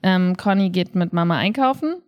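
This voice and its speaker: A woman's voice: on the low side, expressive, normal speed. A woman co-hosting a podcast in casual conversation, talking informally with slangy wording and the odd English word. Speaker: podcast co-host, improv performer.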